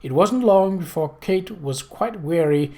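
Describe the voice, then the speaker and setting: Measured novel narrator with a mid-pitched voice. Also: expressive, warm tone. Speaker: audiobook narrator.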